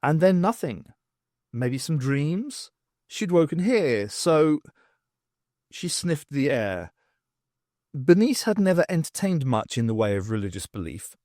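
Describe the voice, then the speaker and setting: Animated male audiobook narrator, higher-pitched. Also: unhurried, long pauses, no room sound, clean studio sound. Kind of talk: scripted story narration.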